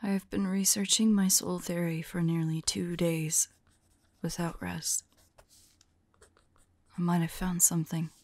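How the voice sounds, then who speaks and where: A woman playing a weary, devoted vampire lover in an ASMR audio roleplay, speaking softly and haltingly in a breathy, whispery voice. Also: fairly low pitch, level tone, dry recording. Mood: weary, pensive. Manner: gentle, halting, hushed delivery. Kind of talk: ASMR audio roleplay, soft intimate acting.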